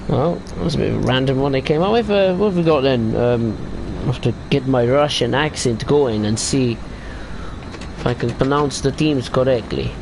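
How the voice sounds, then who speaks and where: A man's voice: mid-range voice, moderate pace, creaky, background noise. A man casually chatting and rambling while streaming a train simulator game. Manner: chatty, rambling about football tables while driving. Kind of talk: casual gameplay commentary.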